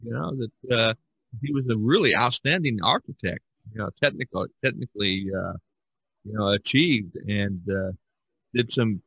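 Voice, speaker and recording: A man hosting a casual podcast discussion in a halting, rambling way, often repeating "you know". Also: fairly low pitch, fairly bright tone, husky, dry recording, poor audio. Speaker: podcast host.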